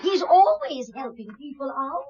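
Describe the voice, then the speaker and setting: A woman's voice: high voice, sing-song, measured pace, darkish tone, highs cut off, slight background noise. A woman playing a fluttery, apologetic, eager lady neighbour in a radio drama.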